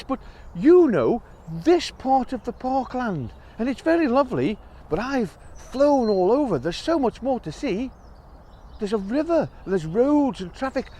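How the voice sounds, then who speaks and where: A man voicing a kind, eager female bird who gushes and pleads excitedly, in a storybook telling. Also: extremely high pitch, pitched above the performer's own voice, full character voice.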